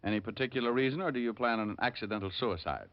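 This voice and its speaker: A man's voice: medium pitch, very fast, close to an everyday voice. An American man playing a cocky, wisecracking private detective in a wry, glib radio drama style. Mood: wry, businesslike. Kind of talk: 1950s radio drama acting. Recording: muffled old radio broadcast recording.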